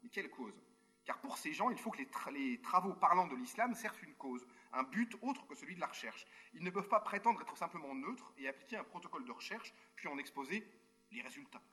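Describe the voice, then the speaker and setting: A male academic reads a prepared talk at a brisk clip, his voice sitting a bit high and sounding bright and forceful. His phrasing is formal and written, broken by quick spoken asides and small hesitations. He comes through a remote video call link. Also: animated, soft background music. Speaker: university researcher giving a conference talk.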